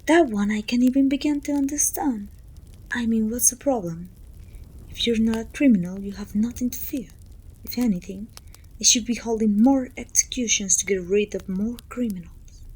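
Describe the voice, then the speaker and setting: A woman playing a pompous, self-pitying evil queen who talks down to her servant in an intimate, breathy ASMR roleplay, often dropping toward a whisper. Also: a little high, moderate pace, dark tone, low-quality recording. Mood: dismissive. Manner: pompous, petulant, theatrical sighing complaints.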